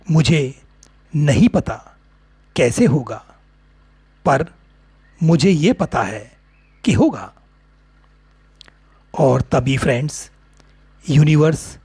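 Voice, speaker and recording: A man with an Indian accent narrating a guided meditation in short, deliberate phrases with long pauses. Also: mid-range voice, a little mellow, husky, no room sound.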